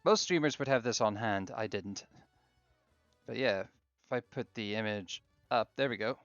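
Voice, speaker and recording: A man hosting a casual game stream, chatting in a relaxed way while fiddling with his setup. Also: on the low side, normal speed, no room sound, lo-fi sound, soft background music. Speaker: streamer host.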